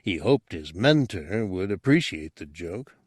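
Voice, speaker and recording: A man's voice: low voice, sing-song, unhurried, no room sound, studio quality. A man reading science fiction as an audiobook narrator, in a dramatic storytelling style.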